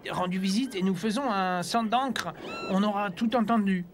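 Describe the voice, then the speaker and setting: High-pitched grumbling cartoon companion voiced by a man. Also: normal speed, noisy background, close to an everyday voice. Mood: grumbling, sceptical. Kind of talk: French cartoon dub.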